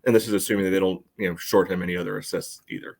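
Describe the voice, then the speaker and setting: A man's voice: sits low, fairly even intonation, racing, creaky. A man co-hosting a sports podcast, giving casual analysis in a loose, hedging, conversational way. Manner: hedging, peppers talk with "you know". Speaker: podcast co-host.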